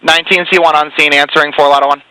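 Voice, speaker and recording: A man's voice: fairly high pitch, flat delivery, rapid-fire, sharp tone, low-quality recording. A man working as an emergency fire dispatcher, relaying calls over two-way radio in clipped, procedural phrases. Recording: compressed radio dispatch audio with hiss.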